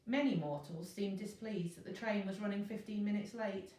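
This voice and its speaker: A woman's voice: mid-range voice, normal speed, mellow. Echoey woman reading a children's story. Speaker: teacher reading a class novel. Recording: roomy echo, distant microphone.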